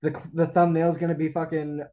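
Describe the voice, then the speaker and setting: A young man trading crude jokes on a casual podcast, drawing out his asides. His voice sits a little higher than most men's. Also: flat delivery, normal speed, over a noisy crowd. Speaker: podcast co-host.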